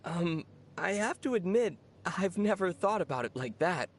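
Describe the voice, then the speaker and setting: A young adult actor playing a calm, gently teasing traveler hero in scripted video game dialogue. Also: mid-range voice, moderate pace, close to an everyday voice. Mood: calm, informative.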